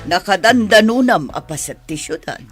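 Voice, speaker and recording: Blunt, animated neighbor played by a woman. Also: mid-range voice, normal speed, faint music behind, close to an everyday voice. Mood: defensive. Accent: Filipino, Ilocano. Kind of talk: radio drama acting.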